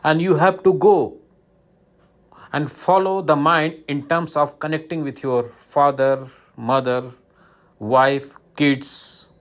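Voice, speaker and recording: A man teaching guided meditation, giving instructions in short, repeated phrases with pauses between them. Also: on the high side, steady tone.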